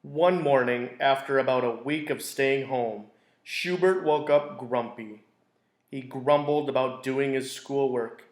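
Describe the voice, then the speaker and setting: A man reading a children's picture book aloud in a deliberate, expressive storytelling way. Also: medium pitch. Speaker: school read-aloud storyteller. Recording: roomy, echoey indoor sound.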